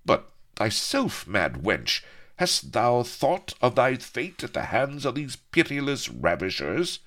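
A man voicing a big, sentimental old pirate captain in an audiobook, speaking a rough seafaring dialect with wistful fondness. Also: medium pitch, wildly swooping pitch, takes it slowly, fairly bright tone, pitched above the performer's own voice, full character voice. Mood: teasing alarm.

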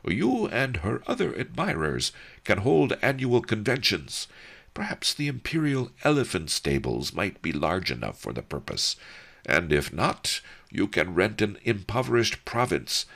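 A man's voice: on the low side, huge pitch swings, a little slow, creaky, a bit bright, voice pushed up, heavily acted. A man voicing a wry, sardonic old male sage who talks in a dry, mocking, formal way. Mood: dry teasing.

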